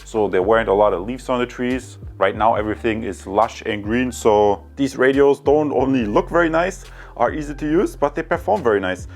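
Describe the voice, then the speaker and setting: A man's voice: medium pitch, normal speed, hardly any pauses, darkish tone, faint music behind. A man hosting a product review, giving a casual, unscripted summary in an upbeat way, addressing viewers directly. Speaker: product reviewer, video host.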